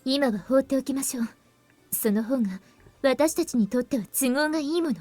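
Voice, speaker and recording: High-voiced, coolly sardonic young woman. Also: rapid-fire, darkish tone, no echo. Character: composed, sardonic young woman from a visual novel. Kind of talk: Japanese game voice acting. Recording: game audio with music behind.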